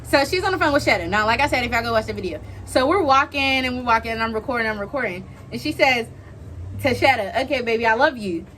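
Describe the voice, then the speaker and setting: A young American woman tells a story in casual, slangy vlog style. Her voice sits fairly high and moves up and down in a very lively way. She is giggly and chatty, over faint background noise. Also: quick pace. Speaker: vlog host.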